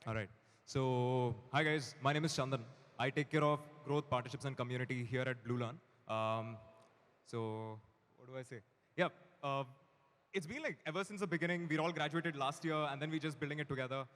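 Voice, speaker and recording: A man's voice: mid-range voice, brisk, a bit bright. A man in his twenties with an Indian accent, talking casually as a panelist at a live event. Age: 20s. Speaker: startup team member, panelist. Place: indoor event hall with audience. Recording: reverberant hall over PA.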